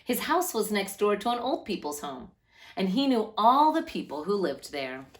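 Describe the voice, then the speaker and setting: A woman reads a storybook aloud for young children. Her pitch moves up and down a great deal, giving the narration an animated, lilting, expressive feel. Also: moderate pace. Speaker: children's read-aloud host.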